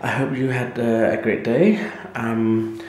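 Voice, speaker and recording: A man in his thirties with a Danish accent, talking casually to a handheld camera at home late at night, tired and hesitant with many pauses. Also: mid-range voice, level tone, darkish tone, roomy sound, low-quality recording. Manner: hesitant, many ums, self-doubting. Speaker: vlogger. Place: dim room at home, indoors. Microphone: handheld camera at arm's length.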